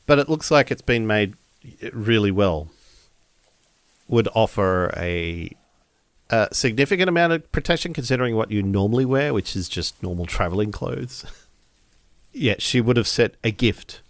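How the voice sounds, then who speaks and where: A man improvising his character's actions in a tabletop game, halting as he searches for words. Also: lowish voice, animated, no room sound. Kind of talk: improvised roleplay narration.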